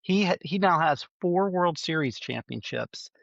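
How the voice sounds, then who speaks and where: A man's voice: fairly high pitch, animated, a little slow, creaky, fairly bright tone, no room sound, highs cut off. A man with a cold and a stuffy nose, hosting a solo sports preview podcast and reeling off stats with frequent ums and uhs. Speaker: podcast host.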